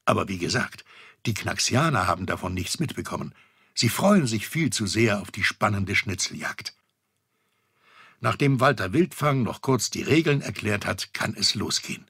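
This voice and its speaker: A man's voice: fairly low pitch, animated, normal speed, creaky, fairly bright tone, breathy edge, dry recording, clean studio sound, full character voice. A middle-aged man narrating a children's audio adventure as a genial storyteller. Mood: genial, wry. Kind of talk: scripted narration.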